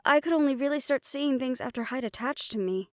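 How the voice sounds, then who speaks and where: A woman's voice: high voice, a little fast, darkish tone, dry recording, studio quality, natural voice. A woman playing a protective, knowledgeable occult investigator in an audio drama, explaining things in a reassuring but firm manner. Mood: thoughtful, explaining. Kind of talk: audio roleplay acting.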